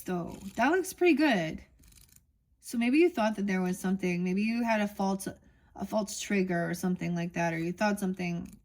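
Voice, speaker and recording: A woman with a medium-pitched voice leads an oracle card reading. She muses aloud in a loose, meandering way, drifting between her own thoughts and the text she reads off the cards. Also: darkish tone. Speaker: tarot reader.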